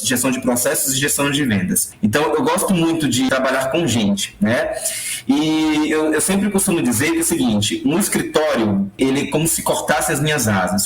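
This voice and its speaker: A man, a podcast guest talking casually and cheerfully, with frequent "né?" tags. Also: medium pitch. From Bahia, Brazil. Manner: chatty, upbeat, lots of "né?" tags. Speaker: podcast guest, youth leader. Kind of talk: casual conversational interview. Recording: echoey room.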